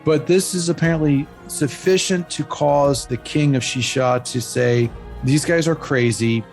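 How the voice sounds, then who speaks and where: A man's voice: a little high, a little slow, soft background music. A man speaking as a history expert, explaining events in an informal, plain-spoken storytelling way. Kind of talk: conversational explanation.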